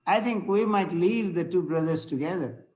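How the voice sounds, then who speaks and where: Man reading as benign Victorian clergyman, high-pitched voice, formal, gently approving, roomy echo, stage comedy table read. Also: moderate pace, lightly acted. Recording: roomy, echoey sound.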